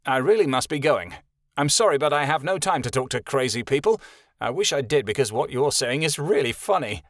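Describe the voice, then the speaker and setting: A man voicing a cheerful, loyal young male scout who talks briskly. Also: medium pitch, lively intonation, fairly bright tone, no echo, clean studio sound.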